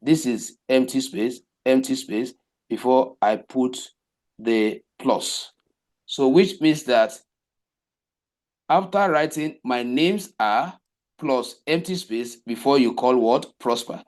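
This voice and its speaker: A man teaching a coding class, explaining each step slowly and repeating himself, often asking rhetorical questions. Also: medium pitch, a little mellow. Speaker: web development teacher. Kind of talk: live coding class walkthrough.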